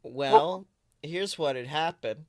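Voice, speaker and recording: A man hosting a podcast in casual, chatty banter, excitable and jokey with theatrical emphasis. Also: a little high, slow pace, no echo, studio quality. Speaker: podcast host.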